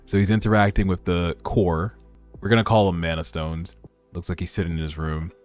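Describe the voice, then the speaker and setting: A man's voice: deep voice, flat delivery, brisk, a little mellow. A man hosting a read-along, mixing narration with informal, slangy, conversational commentary. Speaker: host of a webtoon read-along. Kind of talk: casual reaction commentary mixed with narrated reading. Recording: music under the voice. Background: background music.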